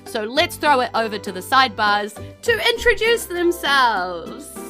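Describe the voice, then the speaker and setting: A woman's voice: high voice, a little slow. A woman with a New Zealand accent hosting a tabletop game podcast, chatty and playful as she narrates. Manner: playful, animated, giggly. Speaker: game master and podcast host. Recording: music behind the voice.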